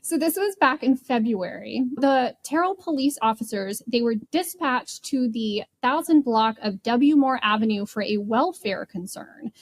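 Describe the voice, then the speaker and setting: A woman's voice: a little high, animated, a little slow, few pauses. A woman co-hosting a podcast, telling true crime stories casually with a wry, giggly manner. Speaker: podcast co-host.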